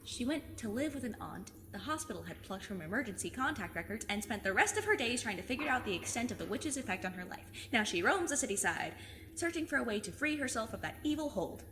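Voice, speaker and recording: Woman as a plucky, high-voiced, bright teenage girl. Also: big pitch swings, fast, heavily acted. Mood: dramatic storytelling. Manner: emphatic, defensive, earnest. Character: plucky, stubborn fifteen-year-old girl with truth-sensing curse. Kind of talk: audio drama acting. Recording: long cave reverb, faint noise.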